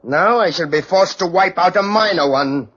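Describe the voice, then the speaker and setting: Sharp, haughty old-time gentleman duelist. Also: high-pitched, moderate pace. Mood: cold menace. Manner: pompous, menacing, formal. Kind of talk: old-time radio drama acting. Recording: muffled, hissy old radio recording.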